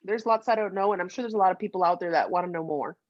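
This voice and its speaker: A woman's voice: fairly low pitch, racing, no room sound. Playful, teasing woman podcaster, dull dry audio. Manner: playful, teasing, emphatic repetition. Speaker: podcast co-host. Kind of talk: casual comedic chat.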